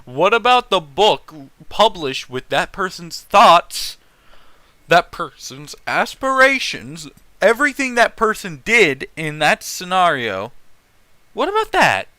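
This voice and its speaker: A man hosts a casual read-aloud with his own running commentary. His voice is bright and forceful, with lively swings in pitch. The recording is dry and close, and he speaks bluntly, swearing freely. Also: slow pace, no echo. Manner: animated, opinionated, profane. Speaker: host reading fan fiction aloud.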